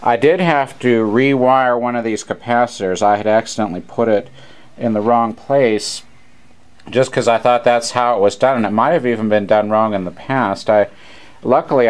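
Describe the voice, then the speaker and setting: A man's voice: lowish voice, clean tone. A man giving a casual, plain-spoken repair demonstration, rambling along unscripted as he presents. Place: small shop, indoors. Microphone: handheld camera, operator behind it.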